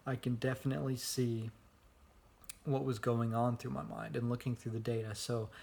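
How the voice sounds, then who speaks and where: Monotone young man vlogging. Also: mid-range voice, moderate pace, creaky, slight background noise. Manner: monotone, rambling with frequent fillers. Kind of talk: casual self-recorded vlog. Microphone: phone held at arm's length.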